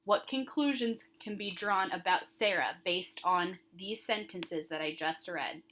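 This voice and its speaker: A woman's voice: fairly low pitch, a little slow, a bit bright, a little hiss or hum. Woman teacher reading questions to pupils. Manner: instructive, addresses children directly, emphasizes key words. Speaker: elementary school teacher.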